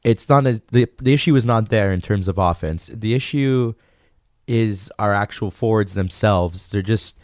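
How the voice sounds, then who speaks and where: A man's voice: lowish voice, moderate pace, darkish tone, no echo, studio quality. A man co-hosting a sports podcast, thinking aloud with frequent restarts. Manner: searching, restarts mid-thought. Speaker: podcast co-host. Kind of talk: casual sports chat.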